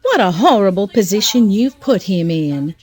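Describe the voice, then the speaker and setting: An actress playing a haughty, calculating fiancée in an audio drama, with a sardonic, sharp-tongued manner. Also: female voice, mid-range voice, lively intonation, husky, dry recording. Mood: cool, sardonic. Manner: unhurried, sardonic.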